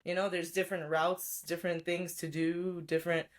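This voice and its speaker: Woman guest, lowish voice, reflective and chatty, casual podcast storytelling. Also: level tone, normal speed, a little hiss or hum. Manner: reflective, chatty, laughs often. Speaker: podcast guest, life and business coach.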